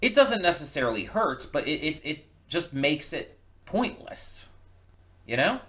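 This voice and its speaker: A man giving casual opinion commentary as a reaction video host, speaking haltingly and often restarting his words. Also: a little high, very animated, pushed and bright.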